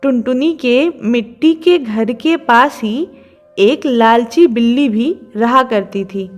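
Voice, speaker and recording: Slow, higher-pitched woman storyteller. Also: faint music behind. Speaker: narrator. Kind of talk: scripted children's story narration.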